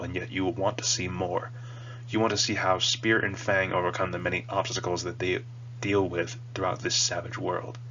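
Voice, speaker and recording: A man's voice: low-pitched, fairly bright tone. A man with a stuffy, slightly nasal voice from a cold, rambling earnestly and casually as he hosts a review video. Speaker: video host reviewing a cartoon. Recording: dull lo-fi mic with audible hum.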